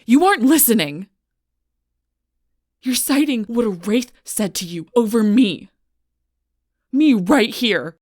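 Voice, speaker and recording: A woman playing a gruff, stubborn, protective female alpha werewolf in an intense audio roleplay, blunt and often hushed, with a husky voice. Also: fairly low pitch, normal speed, long pauses, no room sound, clean studio sound. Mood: angry, desperate. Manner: intense, blunt, swearing, often dropping to whispers.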